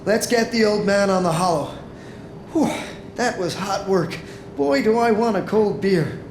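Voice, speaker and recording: A man's voice: high voice, animated, measured pace, a little mellow, a little hiss or hum. A man playing a cocky, wisecracking secret agent with a swaggering delivery in a video game. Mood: breezy, joking. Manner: jokey, swaggering. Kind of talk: video game cutscene acting. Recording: echoey, music behind.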